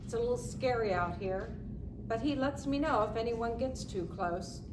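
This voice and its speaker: A woman's voice: fairly high pitch, normal speed, lightly acted. A woman playing a weary 67-year-old homeless woman in a readers theatre dramatic reading. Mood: resigned, plaintive. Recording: roomy, distant mic, noisy ambience.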